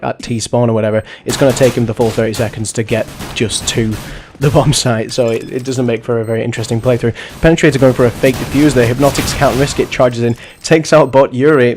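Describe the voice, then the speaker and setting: Hoarse, chatty gaming commentator. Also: male voice, mid-range voice, darkish tone, slight background noise. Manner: rambling, self-correcting, chatty. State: hoarse from the flu, sniffling. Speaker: host and commentator of a bot league.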